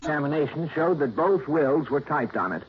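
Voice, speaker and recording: An actor playing a guilty, defensive schemer in an old-time radio drama, a villain who is flustered and indignant. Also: male voice, fairly high pitch, lively intonation, normal speed, husky. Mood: smug. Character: guilty, blustering male schemer turned murderous villain. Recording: muffled vintage radio recording.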